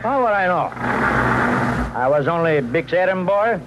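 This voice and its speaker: An actor playing a genial, evasive aging ex-mobster in a 1950s radio crime drama, speaking florid broken English on a muffled, noisy old broadcast. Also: male voice, high-pitched, lively intonation, a little slow. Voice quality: broken English with odd syntax. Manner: florid malapropisms, shrugging counter-questions.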